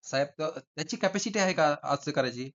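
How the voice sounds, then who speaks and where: A man talks very quickly on a recorded phone call with dull, lo-fi sound. He argues his side insistently, repeating points as he goes, in a slightly high voice. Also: fairly bright tone. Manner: insistent, argumentative, repeating himself. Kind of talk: informal debate.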